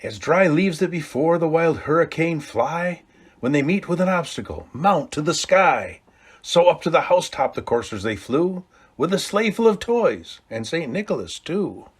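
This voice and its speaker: A man reading a classic Christmas poem aloud, with dramatic swings between hushed low lines and loud calls. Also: very animated, moderate pace, husky. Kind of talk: expressive storybook read-aloud.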